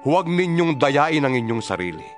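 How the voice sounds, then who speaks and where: A man's voice: fairly high pitch, big pitch swings, normal speed. A man giving a dramatized, earnest reading of scripture, preaching with rhetorical questions. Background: background music.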